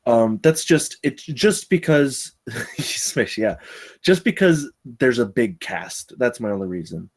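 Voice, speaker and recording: A man with a medium-pitched voice gives informal critique. He talks in a chatty, rambling way and often breaks off to restart his thought. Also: animated, slow pace, husky. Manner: rambling, chatty, self-interrupting. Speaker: table read participant giving script feedback. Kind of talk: casual spoken critique.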